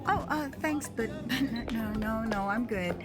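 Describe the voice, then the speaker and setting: A woman playing a polite but reluctant young woman in her twenties, flustered and halting in an audio drama. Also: on the high side, over music, natural voice. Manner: hesitant, halting.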